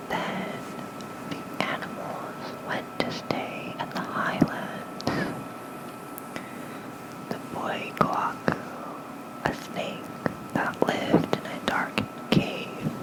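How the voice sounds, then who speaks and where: A soft ASMR host reads mythology in a full whisper, with no voiced tone. The delivery is gentle and very unhurried, spoken close into a fluffy-covered mic for a bedtime listener. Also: rough recording. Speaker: ASMR host reading lore. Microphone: close fluffy-covered microphone.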